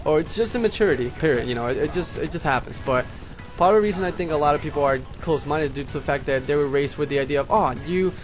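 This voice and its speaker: A man's voice: a little high, quick pace, faint music behind. A young man from Puerto Rico whose first language is Spanish, speaking with a Puerto Rican accent and a slight lisp, rambling casually to his viewers as homies. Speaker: YouTuber. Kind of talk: casual vlog monologue.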